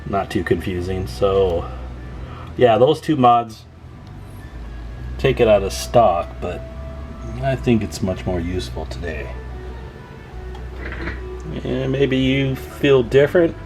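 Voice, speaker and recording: A man's voice: fairly low pitch, animated, slow pace, pauses often, darkish tone, faint music behind, rough recording. A man giving a casual, chatty show-and-tell as a radio repairer and video host, folksy and enthusiastic.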